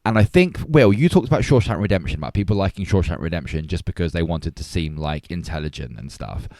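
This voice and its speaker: A man around forty co-hosting a casual film discussion, opinionated and animated in his delivery. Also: low-pitched, fast talker, dry recording, studio quality. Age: 30s or early 40s. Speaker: podcast co-host.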